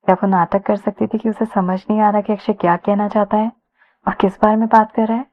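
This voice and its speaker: A woman tells a romance story as narrator, in a conversational way. Her voice has an average pitch for a woman. The recording is somewhat dull and lo-fi. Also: flat delivery, fairly quick. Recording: dull lo-fi sound.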